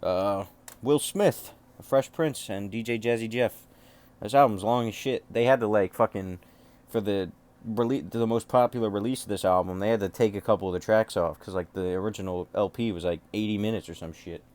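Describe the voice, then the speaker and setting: A man with a low voice talks in a casual, unscripted way, like a collector showing items one by one. He rambles offhandedly and drops swear words freely. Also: brisk, a little mellow. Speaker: music collector showing off cassette tapes.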